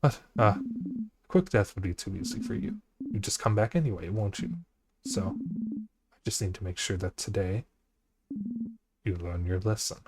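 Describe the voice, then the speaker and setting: A man voicing a cruel, gloating villain king who talks slowly and quietly in threats. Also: low voice, steady tone, a little hiss or hum. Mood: menacing. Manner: slow, hushed, threatening. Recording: music behind voice.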